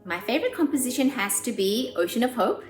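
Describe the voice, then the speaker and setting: A woman's voice: on the high side, expressive. Earnest woman in a roomy space. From South Korea. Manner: earnest and warm, with frequent "um" pauses. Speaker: pianist and composer. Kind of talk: documentary interview. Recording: roomy sound with faint music behind.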